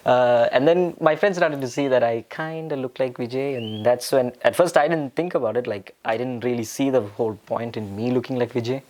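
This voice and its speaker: A young man from India answering interview questions casually, with many pauses and fillers. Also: mid-range voice, darkish tone. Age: young adult. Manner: hesitant, with frequent fillers and restarts. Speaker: interview guest.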